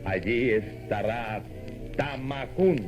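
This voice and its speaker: A male announcer solemnly introduces an old radio serial in a grandiose, declamatory style. He speaks extremely slowly at a medium pitch, over loud music. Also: lo-fi sound.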